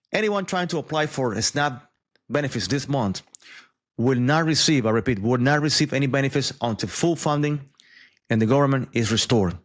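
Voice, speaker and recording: A man talks through the day's news in a loose, conversational way. He adds asides, fillers and repeats words as he goes, in an even, mid-pitched voice. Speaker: news commentator. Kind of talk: casual news commentary.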